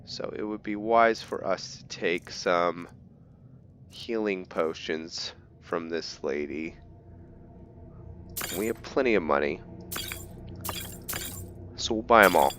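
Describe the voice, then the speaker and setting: A man's voice: sits low, slow pace, pauses often, a bit bright. A man giving casual gameplay commentary as a host, rambling as he explains things step by step. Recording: dull lo-fi mic with faint noise.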